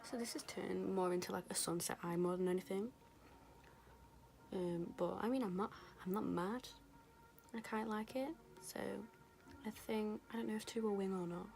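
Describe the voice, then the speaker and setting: A young woman, rambling casually through a makeup tutorial, her voice going hoarse. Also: medium pitch, fairly even intonation, moderate pace, darkish tone. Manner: chatty, rambling, lots of fillers. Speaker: beauty vlogger. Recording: music playing behind.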